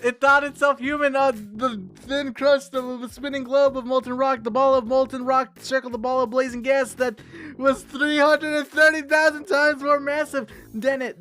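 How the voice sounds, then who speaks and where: A man's voice: very high voice, sharp tone, clear, resonant tone. A man voicing an otherworldly being of the universe, narrating to a player in a theatrical, solemn read-along style over music. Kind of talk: dramatic read-along of a scripted dialogue. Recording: music behind the voice.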